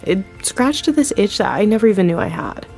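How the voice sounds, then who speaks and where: A woman's voice: medium pitch, sing-song, a little fast, gravelly, a little mellow, soft background music. An actress in her thirties playing a candid, chatty TV executive producer who laughs easily and talks down her own success. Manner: candid, chatty, laughs often, self-deprecating. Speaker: TV executive producer, interview guest. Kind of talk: scripted audio drama, acted interview.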